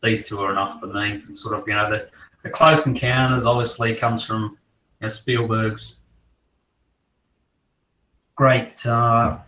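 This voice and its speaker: A croaky-voiced man fighting off a cold talks casually and at length, his tone staying flat and level. He sits a little back from the microphone in an echoey room. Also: fairly low pitch, a little slow, pauses often, far from the mic, slight background noise. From Australia. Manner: relaxed, rambling collector talk. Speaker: co-host. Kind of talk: casual chat.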